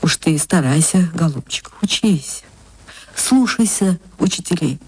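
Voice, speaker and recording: A woman's voice: on the low side, animated, a little slow, darkish tone, slightly rough, dry recording, full character voice. Woman acting a doting, fretful motherly woman. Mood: tender, coaxing. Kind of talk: dramatised literary story reading.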